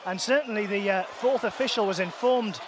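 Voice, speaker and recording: A man's voice: sits high, a little fast, crowd noise. A man giving a live football match report from an outdoor stadium as a reporter. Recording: loud outdoor stadium noise.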